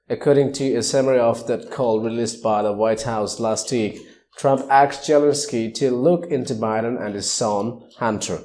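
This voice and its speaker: Mellow-voiced male news reader. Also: mid-range voice. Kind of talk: scripted news narration.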